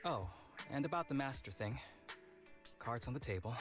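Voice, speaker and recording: Male actor as young officer, video game dialogue, playful, guarded, teasing, medium pitch, faint music behind. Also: animated, moderate pace, natural voice. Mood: guarded, matter-of-fact.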